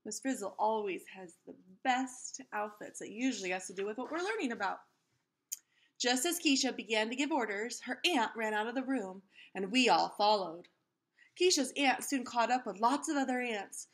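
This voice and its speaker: A woman narrates a children's book in an expressive read-aloud style. Her voice is fairly high and very animated, and she speaks to her young listeners as a teacher would. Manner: expressive, pauses to explain to listeners.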